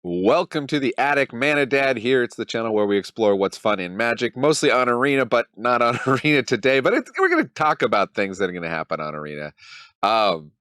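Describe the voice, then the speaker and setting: A man's voice: mid-range voice, very animated, fairly quick, fairly bright tone, no room sound. A man in his thirties hosting a casual commentary channel, talking with a cold and thinking aloud in a wry, loose way. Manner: wry, mock-dramatic, thinks aloud with many ums. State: has a cold. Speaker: channel host.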